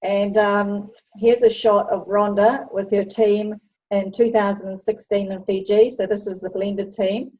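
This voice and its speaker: A woman's voice: medium pitch, level tone, a little slow, a little mellow, low-quality recording. A woman from New Zealand with a New Zealand accent, hosting an interview while showing slides and chatting with frequent ums. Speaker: host presenting a netball travel program.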